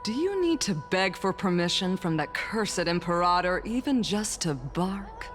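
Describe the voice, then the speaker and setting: A woman's voice: lowish voice, sing-song, unhurried. An actress playing a cold, defiant noblewoman commander in a video game, speaking formally with cutting disdain. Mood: cutting contempt. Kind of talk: video game character acting. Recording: faint game music behind.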